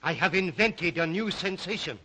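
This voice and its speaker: An actor playing a suave, obsessive Russian count and hunter, speaking with a Russian accent in a deliberate, theatrical, old melodrama manner. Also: male voice, high-pitched, lively intonation, pushed and bright, a little hiss or hum. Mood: proud, boastful. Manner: theatrical, deliberate, brooding, courtly. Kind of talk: 1930s film-era melodramatic acting.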